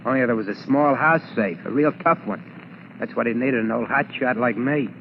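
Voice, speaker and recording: A man's voice: medium pitch, moderate pace, natural voice. An actor playing a worried ex-convict safecracker in a 1940s radio drama, speaking hesitantly in uneducated tough-guy slang. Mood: uneasy. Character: worried, fearful male ex-convict safecracker turned candy-store keeper. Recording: muffled, noisy 1940s radio broadcast.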